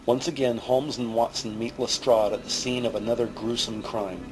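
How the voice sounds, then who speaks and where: A male narrator reads scripted scene descriptions for a mystery audio drama. His voice is mid-pitched, with music clearly playing behind it. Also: steady tone, normal speed, poor audio.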